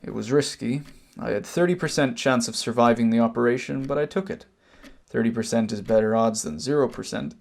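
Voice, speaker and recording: A man acts out a wronged Irish brother in an amateur drama. His voice has a gravelly creak at a middling pitch. He lays out old grievances deliberately and pointedly, with bitter restraint. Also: lightly acted. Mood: hurt, resolute. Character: wronged older emigrant brother, cancer survivor, measured, bitter. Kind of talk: amateur stage drama acting, long monologue.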